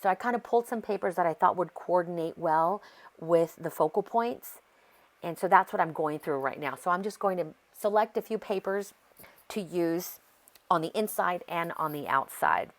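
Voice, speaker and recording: A woman's voice: fairly low pitch, animated, moderate pace. An American woman with a congested, sore-throat voice, chatting casually and rambling as she narrates a craft process. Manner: chatty, rambling, easily sidetracked. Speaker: craft video host.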